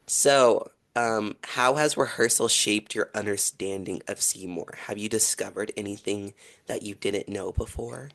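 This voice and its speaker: A man's voice: fairly low pitch, a little slow, gravelly. Young male podcast host, casual. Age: young adult. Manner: relaxed, casual, asks questions. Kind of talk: casual interview.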